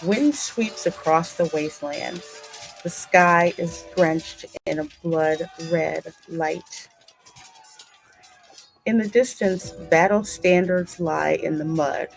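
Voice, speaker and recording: A woman hosting a game playthrough, reading the story in a deliberate, dramatic way with casual asides. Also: low-pitched, fairly even intonation, gravelly, soft background music, poor audio. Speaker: gaming channel host and narrator.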